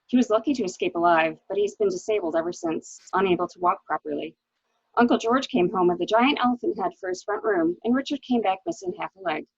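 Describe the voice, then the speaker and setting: A woman's voice: mid-range voice, vocal fry, husky, dry recording. A woman acting a resigned, bitter wife suspected of murder, with genteel, theatrical phrasing, in a dramatic reading of a mystery. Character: resigned, bitter wife caught beside her murdered husband. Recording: dull, lo-fi audio.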